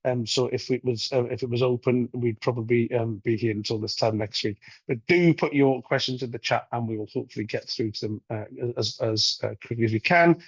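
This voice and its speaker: Croaky man presenting a webinar. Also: mid-range voice, fairly quick, dry recording. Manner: many ums and uhs, steady explanatory delivery. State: croaky from a recent cold. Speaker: playing data manager presenting a webinar. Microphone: video call microphone. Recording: dull, dry online-call audio.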